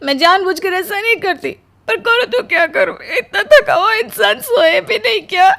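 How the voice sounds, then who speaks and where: Woman voicing a very high-pitched, put-upon young bride. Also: expressive, moderate pace, vocal fry, bright, forceful tone, heavily acted. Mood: weary, plaintive. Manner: plaintive, complaining. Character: exhausted, put-upon young newlywed bride, aggrieved and tearful. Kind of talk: melodramatic cartoon story acting.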